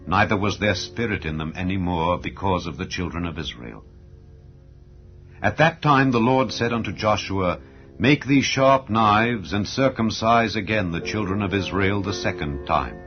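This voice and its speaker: A man narrating scripture as an audiobook in a solemn, measured, formal and declamatory way. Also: sits low. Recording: dull lo-fi audio with background music. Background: background music.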